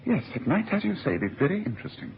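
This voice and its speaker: An actor playing a shrewd, authoritative gentleman detective in a radio drama, musing aloud with precise, commanding phrasing. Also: sits high, huge pitch swings, fast, vocal fry, heavily acted. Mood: musing, courteous. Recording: muffled, slightly noisy old radio recording.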